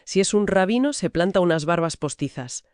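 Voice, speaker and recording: A woman's voice: fairly low pitch, animated, brisk, a little mellow, no echo, studio quality. A woman with a Castilian Spanish accent co-hosting a conversational discussion about literature. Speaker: co-host of a book discussion.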